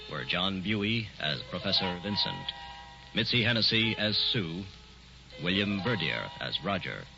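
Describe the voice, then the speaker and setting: A man's voice: low-pitched, gravelly. A man, an armed forces radio announcer, formally reading the closing credits. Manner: formal, even-paced announcing. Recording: dull old broadcast recording with music.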